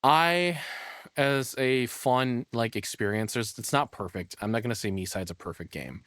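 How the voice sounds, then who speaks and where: A man's voice: mid-range voice, normal speed, fairly bright tone, no room sound. A man giving casual, rambling and opinionated reaction commentary in an informal way. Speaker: reaction streamer.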